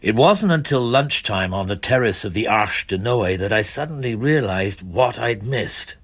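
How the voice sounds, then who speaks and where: A man's voice: fairly low pitch, lively intonation, normal speed, a bit bright, soft background music. An actor playing a wry, observant French police chief inspector who recounts his case conversationally in a radio drama. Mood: reflective narrating. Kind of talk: radio drama acting with first-person narration.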